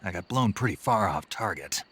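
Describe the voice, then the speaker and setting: An actor playing a gruff, stoic American special forces soldier in a video game, with terse, skeptical, questioning delivery and a rasping voice. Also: male voice, sits low, expressive, moderate pace, fairly bright tone, heavily acted. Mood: grim, terse. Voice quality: very husky, gravelly rasp. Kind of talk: dramatic video game voice acting.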